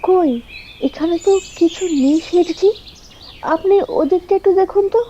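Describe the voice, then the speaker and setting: Woman acting a very high-pitched scheming village woman. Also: normal speed, warm tone, muffled recording, a little hiss or hum. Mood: puzzled. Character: scheming, brazen village woman, a would-be thief. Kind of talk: doll-story drama dialogue.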